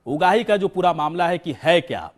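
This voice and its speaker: High-pitched male news anchor, urgent delivery. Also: lively intonation, normal speed. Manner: urgent, insistent, repetitive. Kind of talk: live breaking-news presenting and questioning a reporter.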